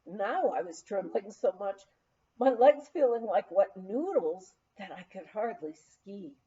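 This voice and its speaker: A woman narrates a memoir at an unhurried pace, her voice soft-edged and mellow, pitched a little high. She tells it as a measured, expressive story read aloud, on a slightly dull recording. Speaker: audiobook reader.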